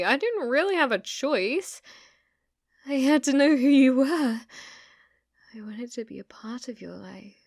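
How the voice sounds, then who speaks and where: A woman's voice: a little high, no echo, close to an everyday voice. A British woman playing an obsessive, delusional young female stalker in an ASMR roleplay, intimate and sweetly menacing, close to the microphone. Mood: insistent. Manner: intimate, unhinged, swinging between whispers and intense pleading.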